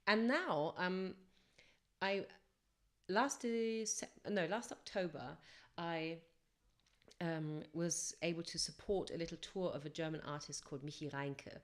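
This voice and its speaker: Brief: young female singer, low-pitched, chatty and reflective podcast guest, loose and rambling. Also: measured pace. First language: English first language. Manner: chatty, reflective, rambling with fillers and self-corrections. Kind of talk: casual podcast interview storytelling.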